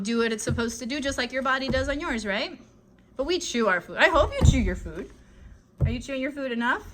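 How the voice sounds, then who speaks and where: Woman, kids' educational host. High, bright voice, chatty and direct, informal live-stream lesson with faint noise behind. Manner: animated, chatty, addresses children directly with frequent check-in questions. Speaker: children's educational show host.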